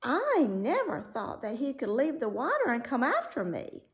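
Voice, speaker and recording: A woman's voice: sits high, huge pitch swings, normal speed, pitched above the performer's own voice, heavily acted. A woman voicing a young princess in a storybook, emotional, plaintive and dramatic. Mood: pleading, upset. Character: young princess, king's daughter, emotional and pleading. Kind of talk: storybook read-aloud character voice.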